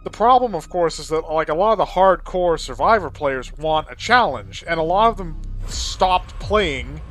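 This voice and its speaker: A man's voice: fairly high pitch, lively intonation, normal speed, fairly bright tone. A man giving casual, opinionated gameplay commentary in a rambling way, with some swearing. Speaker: let's play video creator. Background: game soundtrack music behind the voice.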